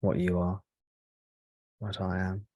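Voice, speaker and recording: A man speaking as a spiritual teacher leading a guided meditation, in slow, measured short phrases with pauses between them. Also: low voice, completely flat delivery, no room sound, dull audio.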